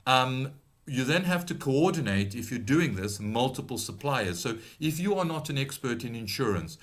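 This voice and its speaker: A middle-aged British man with a slight South African tinge to his accent, presenting a webinar in a measured, advisory way with frequent ums and restarts. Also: medium pitch. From Britain. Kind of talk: explanatory webinar talk.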